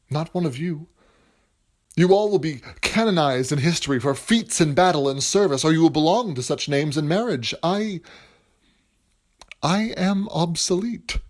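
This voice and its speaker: A man acts a self-doubting playwright in a period audio drama. His voice sits a little high for a man. He speaks formally and earnestly, with measured melancholy. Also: lively intonation. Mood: doleful, earnest.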